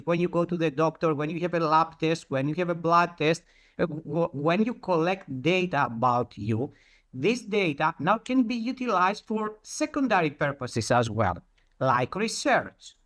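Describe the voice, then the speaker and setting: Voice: medium pitch, expressive, measured pace, fairly bright tone. A presenter giving an explanatory talk in a didactic way, posing questions and then answering them.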